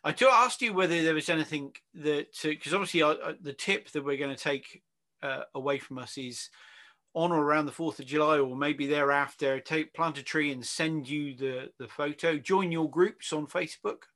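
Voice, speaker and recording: A man's voice: a little high, moderate pace, bright, forceful tone. A man hosting a casual interview over a video call, rambling, affirming and teasing as he goes. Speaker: interview host.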